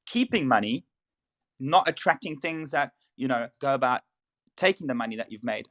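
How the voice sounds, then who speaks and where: A man's voice: mid-range voice, a bit bright. A man in his thirties moderating an online audio panel, speaking in an earnest, motivational way. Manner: earnest, motivational, with self-corrections. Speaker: room moderator. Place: online audio chat room.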